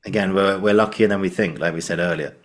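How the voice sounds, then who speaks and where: A man's voice: low voice, steady tone, quick pace. A man hosting a conversational interview, effusive and given to repeating himself. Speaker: podcast host.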